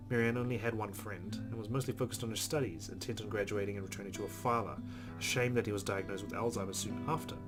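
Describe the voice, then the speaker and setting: A man reading a visual novel aloud as a let's play host, adding casual commentary. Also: fairly low pitch. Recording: background guitar music. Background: game music with plucked guitar.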